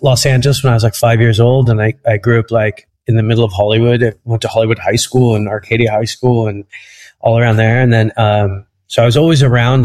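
A man's voice: mid-range voice, a little mellow. A man from Egypt, a comedian guest on a podcast, telling stories in a rambling way and laughing at them. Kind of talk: casual interview chat.

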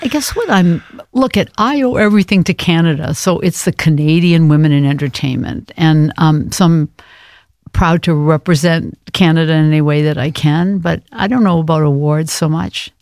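An older woman from Maine, speaking as an interview guest, thoughtful and hesitant with many pauses. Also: sits low, darkish tone, no room sound. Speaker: guest, actress. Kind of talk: reflective interview talk.

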